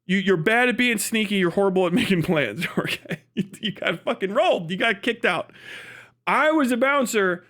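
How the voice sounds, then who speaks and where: An American man with a notably high voice delivers an off-the-cuff livestream rant. He is blunt and sarcastic, swears freely, and hammers his points with emphatic phrasing and mock dialogue. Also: animated, moderate pace, a bit bright. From United States. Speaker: livestream commentator.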